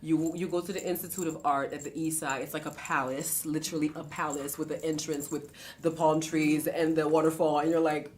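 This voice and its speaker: A woman from Haiti talks as a musician guest in a conversational interview, with a notably deep voice for a woman. She is animated and expressive, sprinkling in "you know" and slipping into other people's voices as she tells stories. A faint low rumble sits under the recording. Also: fairly quick, few pauses.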